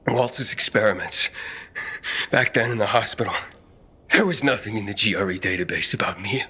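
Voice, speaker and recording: A man playing a tormented, guilt-ridden young survivor in a video game cutscene, halting and anguished. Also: on the low side, expressive, bright, forceful tone, husky, heavily acted. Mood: guilty, despairing.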